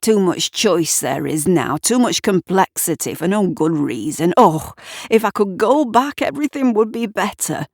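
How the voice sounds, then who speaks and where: A woman voicing a grieving old man in an audio story, halting and nostalgic as he speaks. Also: medium pitch, animated, a little mellow, no echo, clean studio sound. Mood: wistful, nostalgic. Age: older. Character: grieving, wistful old man reminiscing about lost youth.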